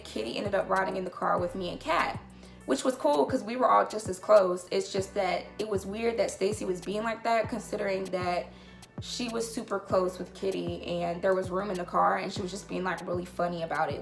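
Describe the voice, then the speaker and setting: A woman's voice: mid-range voice, fairly even intonation, fairly quick, over music. A young woman from North Carolina with a naturally crackly voice, chatting through a rambling storytime vlog in her kitchen, swearing casually and full of fillers. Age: young adult. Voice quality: crackly, gravelly voice. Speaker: vlogger. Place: home kitchen.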